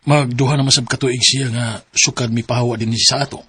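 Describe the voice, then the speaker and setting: An actor playing a gentle, concerned middle-aged father in a radio drama. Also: mid-range voice, flat delivery, quick pace, husky, no room sound.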